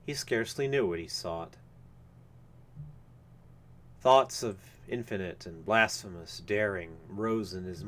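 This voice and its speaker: A man reading a literary horror story aloud live, with measured, formal and dramatic phrasing. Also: on the low side, fairly bright tone. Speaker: reader of a story.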